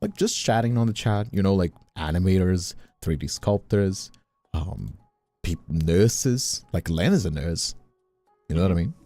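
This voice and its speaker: A man chatting casually as a livestreamer, rambling and halting, often repeating himself as he finds his point. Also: low voice, lively intonation, dark tone, dry recording. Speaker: streamer and content creator. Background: faint music.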